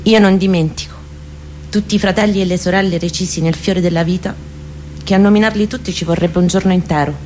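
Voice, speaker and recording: Low-voiced Roman woman telling a story. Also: slight background noise. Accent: central Italian, Roman. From near Rome, Italy. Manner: expressive, intimate, deliberate phrasing with hushed moments. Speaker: monologue performer. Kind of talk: dramatic autobiographical storytelling monologue.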